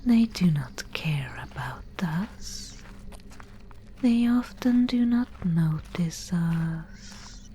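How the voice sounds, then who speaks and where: A woman playing a mysterious, solemn guide of a gloomy fantasy realm in an ASMR roleplay, whispering breathily and close to the microphone with deliberate pauses. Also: lowish voice, lively intonation, a little hiss or hum, poor audio. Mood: hushed, solemn. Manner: slow, hushed, solemn storytelling with deliberate pauses.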